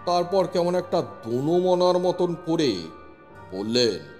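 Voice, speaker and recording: Guy narrating a spooky story, really slow, big reverb on the voice, dramatic. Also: fairly high pitch, very animated, a little mellow. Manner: suspenseful, dramatic delivery. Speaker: narrator, telling the story as a police inspector. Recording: long reverb with music underneath. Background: music.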